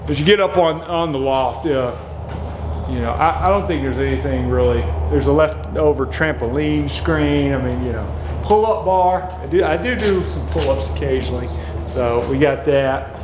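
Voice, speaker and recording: A man's voice: mid-range voice, normal speed, background noise. A man, casually showing off his workshop in a large metal pole barn, rambling with many restarts and self-deprecating asides. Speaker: lawn care business owner showing his shop. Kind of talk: casual shop tour chat.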